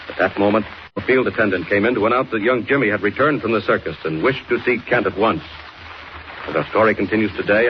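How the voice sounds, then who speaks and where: A man's voice: on the low side, close to an everyday voice. A man announcing a 1940s radio serial in a dramatic, declamatory style. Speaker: announcer and narrator. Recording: muffled, noisy 1940s radio recording.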